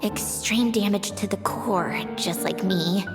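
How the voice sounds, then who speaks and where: An actress playing a proud, sharp-tongued mechanical genius in a video game, haughty and theatrical, sardonic but secretly caring. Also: female voice, medium pitch, slow pace, over loud music. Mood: strained, worried.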